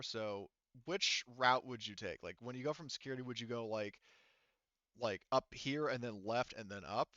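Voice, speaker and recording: A man running a tabletop game as game master, narrating casually in an informal, halting manner. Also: mid-range voice, fairly bright tone, no room sound. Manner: informal, halting, sometimes profane. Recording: dull, muffled lo-fi sound.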